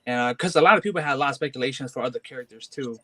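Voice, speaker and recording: A man's voice: medium pitch, racing, pushed and bright. A man in his twenties, a comic collector, talking casually and hesitantly with many fillers and restarts. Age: 20s. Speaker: guest collector. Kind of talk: casual chat.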